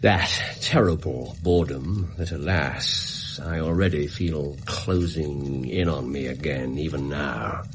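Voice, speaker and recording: An actor playing a brilliant, bored English gentleman detective in a British radio drama, theatrical, precise and self-assured. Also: a bass voice, slow, few pauses, slight background noise, full character voice. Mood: weary, melancholy.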